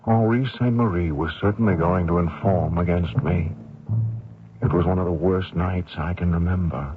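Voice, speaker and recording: Man playing a grave, low-voiced haunted fugitive. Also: normal speed, narrow, tinny audio, music in the background, lightly acted. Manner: grave, earnest, defensive. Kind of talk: old radio drama acting. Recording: thin dry old radio recording.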